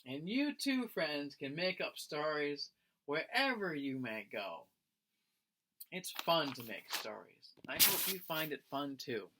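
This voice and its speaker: A man's voice: fairly high pitch, big pitch swings, slow, bright, forceful tone, poor audio. A man reading a children's picture book aloud like a teacher, expressively and with friendly address to young listeners. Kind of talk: animated children's read-aloud.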